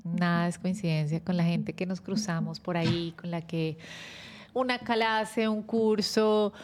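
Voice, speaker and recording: A woman hosts a faith-based talk show in a gentle, devout, rambling way. Her voice sits a bit low, and she moves easily between chatting and praying. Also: steady tone, unhurried, slight background noise. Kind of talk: warm faith-based interview with prayer.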